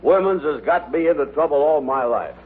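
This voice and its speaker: A man's voice: a little high, expressive, a little fast, natural voice. An actor playing a blustering, self-pitying ladies' man in a vintage radio sitcom. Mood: exhausted, relieved. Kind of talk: 1950s radio sitcom acting. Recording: tinny, noisy old radio broadcast.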